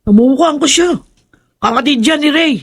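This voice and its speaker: A woman's voice: high voice, a little fast, lightly acted. An actress playing a vengeful, scheming woman who commands a demon in a horror audio drama, wicked and theatrical. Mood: alarmed, hostile.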